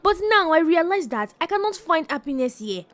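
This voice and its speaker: A woman's voice: extremely high pitch, very animated, a little fast, fairly bright tone, voice pushed up, heavily acted. A woman voicing a cold, curt young wife.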